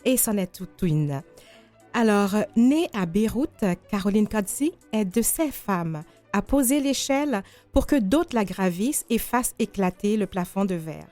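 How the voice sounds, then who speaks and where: A woman's voice: mid-range voice, lively intonation, measured pace, warm tone, faint music behind. A woman hosting a radio interview show, presenting and questioning her guest.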